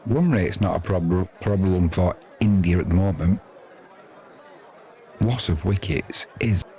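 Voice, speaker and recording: A man's voice: deep voice, slow pace, mellow. An older British man giving casual ball-by-ball cricket commentary in a chatty, rambling, colloquial way. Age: 50s or older. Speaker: sports commentator. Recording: crowd noise behind the voice.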